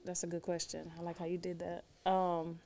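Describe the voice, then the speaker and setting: Nervous, loud-voiced woman guest. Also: on the low side, monotone, moderate pace. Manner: nervous but playful, loud voice. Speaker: podcast guest. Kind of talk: casual chat.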